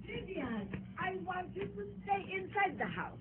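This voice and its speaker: A bossy mistress played by a woman scolds in a very high, swooping voice. Her words are drawn out, in a radio drama with a thin, phone-like sound. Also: sing-song, unhurried, heavily acted. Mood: scolding. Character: bossy, scolding female employer of a maid.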